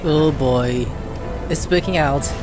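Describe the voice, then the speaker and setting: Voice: mid-range voice, slow. A streamer giving casual, offhand reactions and reading along while playing a video game. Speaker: gameplay streamer. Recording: game music behind the voice.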